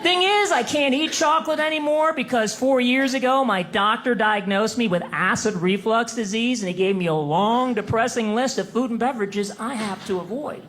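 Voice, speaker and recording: Fifties comedian guy, high and bright, dry wry delivery on a club stage. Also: normal speed, hardly any pauses, a faint crowd. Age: 50s. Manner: wry, deadpan storytelling with crisp punchlines. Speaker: stand-up comedian. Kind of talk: stand-up comedy set.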